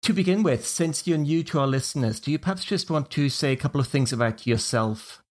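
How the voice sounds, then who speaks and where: Measured Swiss male podcast host. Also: fairly high pitch, expressive. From Switzerland. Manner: measured, organized, leads the talk. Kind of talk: casual podcast chat.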